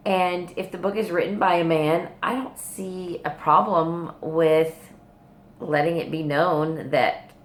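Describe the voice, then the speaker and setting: Female book vlogger, low register, informal and rambling, hesitant with unfinished thoughts. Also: fairly even intonation, slow pace, echoey room. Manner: hesitant, meandering, trailing off and backtracking. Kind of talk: casual rambling video chat. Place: hospital room.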